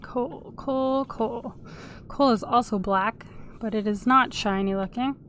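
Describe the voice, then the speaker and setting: A woman's voice: a little high, slow, fairly bright tone, slight background noise. A woman with a sniffly cold, casually commenting while she plays a game and humming little tunes to herself. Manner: chatty, hums and sings little tunes while playing. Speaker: gameplay video host. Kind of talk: casual let's-play commentary.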